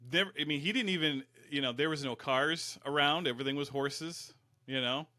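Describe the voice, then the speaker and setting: A man's voice: a little high, moderate pace, bright, forceful tone, dry recording, studio quality. Folksy, rambling male guest. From Upper Peninsula of Michigan. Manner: rambling, folksy, self-deprecating. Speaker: guest, fire service educator. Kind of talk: casual interview.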